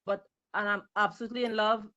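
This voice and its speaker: A woman's voice: mid-range voice, level tone, fast, sharp tone, dull audio. A woman from Trinidad and Tobago, commenting emphatically in a video call discussion and repeating words for stress. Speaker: panel audience member.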